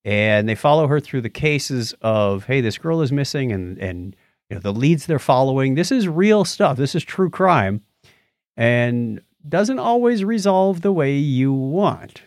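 A man's voice: medium pitch, sing-song, measured pace, darkish tone, no echo, studio quality. A man hosting a podcast in casual chat, with jokey, informal asides. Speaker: podcast host.

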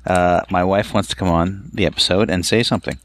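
A man co-hosting a casual podcast from home, wry and teasing in a low-key way. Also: fairly even intonation, a little fast, a little hiss or hum. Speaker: podcast co-host. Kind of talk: casual chat. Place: at home, speaking over a call.

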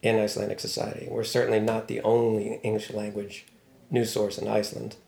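A man's voice: on the low side, monotone, a little fast, gravelly, dark tone, echoey room. A man from Baltimore, talking casually and thoughtfully as an interview guest, with a wry tone and many fillers. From Baltimore, USA. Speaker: news writer, guest.

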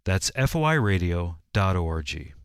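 Low-voiced male radio announcer, dry sound. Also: big pitch swings, normal speed, clear, resonant tone, no echo. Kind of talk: scripted promotional narration.

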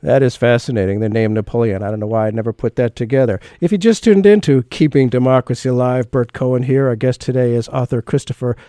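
A man's voice: medium pitch, fast, few pauses, warm tone, no echo, clean studio sound. A man hosting a radio talk show, chatting casually with a guest in a loose, rambling way with many fillers and restarts. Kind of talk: casual interview chat.

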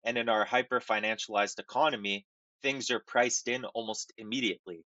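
A stuffy, nasal man talks through market news in a halting podcast chat, full of ums and restarts. His voice is bright and forceful but a little low. The recording is dry and slightly dull. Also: fairly even intonation, dry recording. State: nasal from a cold. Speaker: podcast co-host. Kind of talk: casual market commentary.

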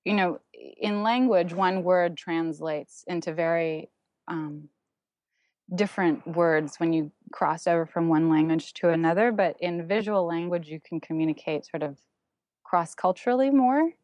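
A woman's voice: fairly low pitch, measured pace, darkish tone, no room sound, highs cut off. A woman with German as her first language, a guest artist talking over her path, thoughtful and full of ums and restarts. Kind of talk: casual interview, recounting her path.

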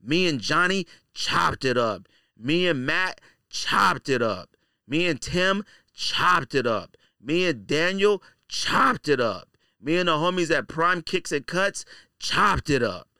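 A man's voice: on the high side, lively intonation, slow, pushed and bright, no room sound, studio quality. A middle-aged man hosting a casual podcast, giving opinionated, slangy monologue and repeating his points for emphasis. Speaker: podcast host.

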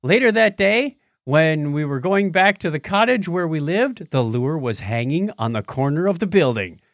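A man's voice: on the high side, expressive, moderate pace, dry recording, clean studio sound. A man hosting a podcast in casual chat, with a techy, wry and jokey way of talking. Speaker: podcast host.

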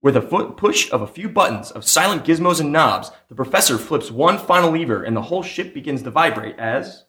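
A man's voice: on the high side, lively intonation, a little fast, few pauses, sharp tone. A man narrating dramatically in the style of old-time radio serials. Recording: roomy live stage sound with music.

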